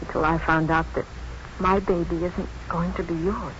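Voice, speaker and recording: An actress playing an anxious, wry young expectant wife in 1950s radio drama, with a halting, self-mocking way of talking. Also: female voice, fairly low pitch, level tone, lightly acted. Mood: bitter, sad. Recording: dull, faintly noisy 1950s radio broadcast.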